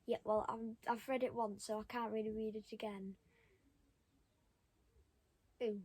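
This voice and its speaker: A young child reading a storybook aloud, stopping for halting, chatty asides to the listeners. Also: mid-range voice, little pitch movement, darkish tone, husky. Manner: halting, commenting on pictures to listeners. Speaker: storybook reader.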